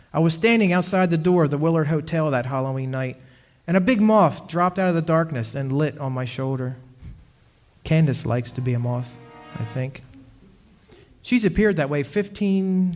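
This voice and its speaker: A man playing a henpecked husband haunted by his witch wife, telling his story in a wry, aggrieved, hesitant radio-drama manner. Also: on the high side. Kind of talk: old-time radio drama, storytelling monologue. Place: stage performance in a club hall. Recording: hall reverb, music behind.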